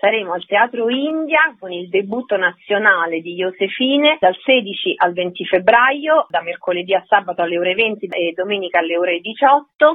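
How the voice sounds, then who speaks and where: A woman's voice: mid-range voice, no echo. A woman theatre director speaking as a guest in a radio interview. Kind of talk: radio interview about a stage production. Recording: tinny, narrow telephone line.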